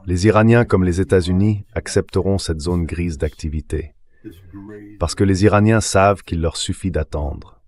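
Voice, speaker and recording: Man, very low voice, political commentator, translated voice-over, emphatic and assertive delivery. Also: darkish tone. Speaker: interview guest, political commentator.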